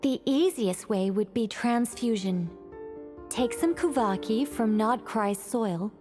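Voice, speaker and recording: An actress playing a calm, methodical woman scholar in a video game, speaking in a composed, precise, explanatory manner. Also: fairly high pitch, slow, over music. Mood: explaining. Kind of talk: video game cutscene voice acting.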